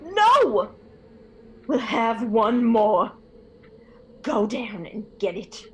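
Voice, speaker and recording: A woman's voice: a little high, sing-song, drawn-out words, a little hiss or hum, heavily acted. A woman playing an obsessive, grieving elderly mother in a radio horror drama, imploring and intense. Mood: feverish, demanding.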